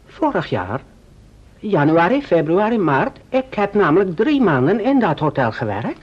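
A deferential foreign servant in an old radio play. The voice is very low for a woman and slow, halting and courteous, on a dull recording. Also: very animated, slightly rough, heavily acted. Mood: polite, deliberate. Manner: halting, broken grammar, deferential. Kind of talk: vintage Dutch radio play acting.

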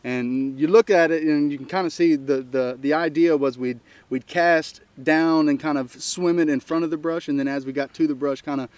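An easygoing man, recapping a fishing trip in relaxed chat. His voice sits a bit high and sounds close and dry, with little room around it. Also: moderate pace, hardly any pauses, no echo. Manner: easygoing, talks through results and thank-yous. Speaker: fishing channel co-host.

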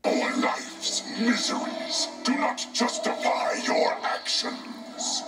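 An actor voicing a grave, defiant construct of many souls in a video game, speaking in solemn, formal declarations with an eerie, breathy quality. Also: male voice, slow, roomy sound, lightly acted. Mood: grave, accusing. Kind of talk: video game fight intro dialogue. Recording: distant game audio under loud music.

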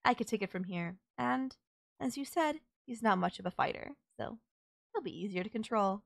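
A woman's voice: medium pitch, expressive, moderate pace, creaky, no echo. A woman playing a sultry, possessive kidnapper who gloats over and coaxes a captive in an intimate audio roleplay. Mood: smug, pleased. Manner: teasing, coaxing, controlling.

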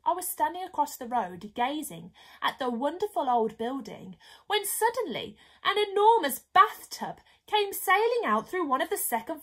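A young woman reads a storybook for children. Her voice is high and swoops widely, leaning dramatically on key words and stretching them out. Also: fairly bright tone. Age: young adult. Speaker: story reader. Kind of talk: expressive children's storybook reading. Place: small bedroom, indoors.

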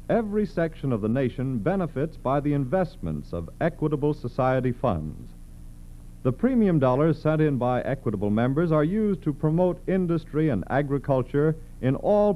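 An American man announcing formally and emphatically in the style of a 1940s radio sponsor message. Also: mid-range voice. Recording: dull, noisy, humming vintage radio.

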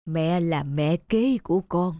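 Woman playing a slow, gentle elderly mother. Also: fairly low pitch, no room sound, clean studio sound, pitched below the performer's own voice. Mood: solemn confession. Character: gentle elderly mother confiding in her grown son. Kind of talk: animated moral tale acting.

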